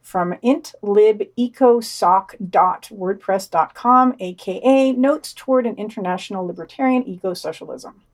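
A woman hosts a news podcast and reads the week's items in a mid-pitched voice. Her asides are dry and sardonic. Her delivery stays matter-of-fact. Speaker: podcast host. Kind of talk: reading news summaries with wry asides.

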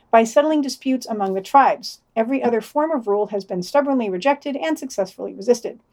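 A woman's voice: medium pitch, a little mellow. Dry, sardonic woman reading podcast news. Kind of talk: scripted news reading with wry opinionated asides.

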